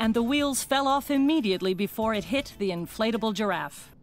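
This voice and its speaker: A woman's voice: a little high, brisk, faint music behind, close to an everyday voice. A woman voicing a snide, mock-serious cat news anchor in a cartoon, with an arch and sarcastic delivery. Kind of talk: cartoon newsreader parody.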